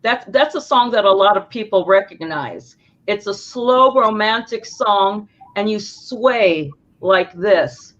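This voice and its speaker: A woman's voice: medium pitch, animated, slow pace, a bit bright. A woman who teaches dance, telling stories with animation as an interview guest. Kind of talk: casual interview talk. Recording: dull lo-fi sound.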